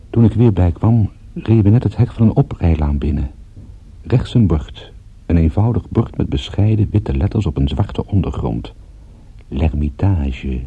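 An actor playing a weary, battered private detective, narrating a radio drama in a measured, grim way. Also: male voice, sits low, husky, full character voice. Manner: measured, grim, literary storytelling. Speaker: narrator and lead of a radio play. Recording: narrowband, muffled old radio recording.

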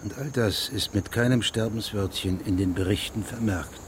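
An actor playing a formal, persistent investigating judge who questions witnesses precisely in a German radio drama. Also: male voice, fairly low pitch, moderate pace, slight background noise, natural voice. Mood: critical, insistent.

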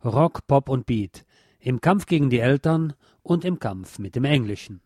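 A man's voice: medium pitch, animated, a little slow, darkish tone, husky, no echo, studio quality. A man hosting a radio programme, reading a scripted narration on music history.

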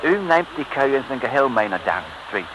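An actor playing a polite, secretive British police inspector with an English accent, mixing English words in, in old radio serial style. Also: male voice, medium pitch, big pitch swings, fairly quick, highs cut off, lightly acted. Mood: earnest, instructing. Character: courteous British male police inspector working undercover. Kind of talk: 1950s-60s radio serial acting. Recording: noisy old radio recording.

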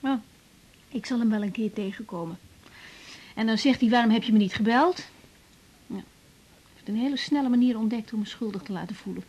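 An actress playing a young woman doctor in a radio drama, teasing and cynical, going soft and hushed. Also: a little high, a little fast, pauses often, low-quality recording. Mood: uncertain. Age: young adult. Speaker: general practitioner. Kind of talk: 1980s radio drama acting.

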